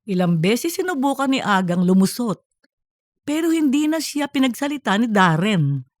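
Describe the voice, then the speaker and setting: A woman reading scripted comedic fiction as an audiobook narrator, in a lively storytelling way. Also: on the high side, normal speed, no echo, clean studio sound.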